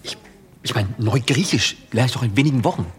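Actor as a fast, defensive academic. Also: male voice, medium pitch, expressive, long reverb tail, close to an everyday voice. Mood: flustered. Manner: hurried, defensive. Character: eager, defensive, flustered male academic. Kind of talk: radio play dialogue.

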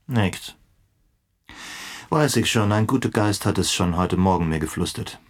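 An actor playing a wry, inquisitive investigator in a German radio play, slyly dropping in English phrases. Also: male voice, on the low side, animated, unhurried. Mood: dry, curious. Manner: peppers talk with English phrases, sly.

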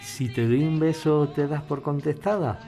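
An actor as a sentimental older Spanish suitor, slightly high-voiced. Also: male voice, normal speed, mellow, soft background music, lightly acted. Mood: earnest, tender. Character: sentimental, old-fashioned older man, devoted suitor. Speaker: actor in an audio drama. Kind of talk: podcast radio drama acting, conversational.

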